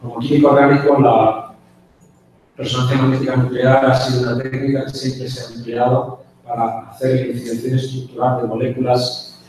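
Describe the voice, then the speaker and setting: Male lecturer, 60s, Castilian Spain accent, even delivery with pauses, very reverberant auditorium recording. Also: mid-range voice. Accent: Spanish from Spain. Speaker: guest lecturer, chemist. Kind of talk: conference lecture. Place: university auditorium, indoors. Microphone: handheld mic, picked up by distant camera. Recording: echoey hall from far off, faint noise.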